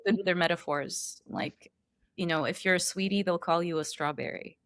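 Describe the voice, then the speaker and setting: A woman on a podcast talking in an animated, emphatic way, with a lot of "like.". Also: on the low side, moderate pace, no room sound, clean studio sound. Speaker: perfumer guest. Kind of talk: casual podcast conversation.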